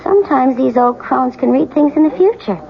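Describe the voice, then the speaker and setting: An actress playing a chatty, flighty, concerned friend with a gushing, hesitant manner in a radio drama. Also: female voice, high-pitched, lively intonation, normal speed, gravelly. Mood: tentative. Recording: muffled old radio broadcast.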